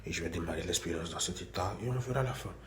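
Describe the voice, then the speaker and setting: A man's voice: sits low, quick pace, a little hiss or hum. A man with a cold, chatting casually to his phone camera in a small bathroom at home, repeating himself and restarting his sentences. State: has a cold. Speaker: vlogger. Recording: echoey room with faint ambience.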